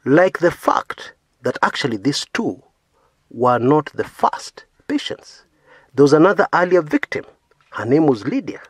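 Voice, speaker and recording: A man's voice: a little high, big pitch swings, measured pace, husky, no echo, clean studio sound. A man from Kenya whose first language is not English, giving an earnest, emphatic opinion monologue as a video host, often tagging sentences with "yeah.". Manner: earnest, emphatic, frequent "yeah" tags, rising stresses on key words.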